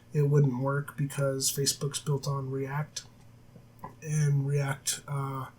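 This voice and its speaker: A man with a cold-roughened raspy voice, teaching a coding tutorial over a screen recording with many filler sounds and self-corrections. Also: mid-range voice. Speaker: programming tutor. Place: at a desk, voice-over of screen capture. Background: faint keyboard typing.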